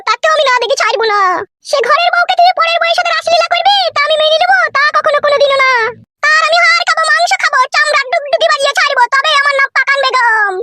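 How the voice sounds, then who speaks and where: A man's voice: very high-pitched, very noisy. A man voicing a furious, vengeful, boastful wife in a comedy cartoon, ranting in a rural West Bengal accent. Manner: ranting, threatening, earthy. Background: music.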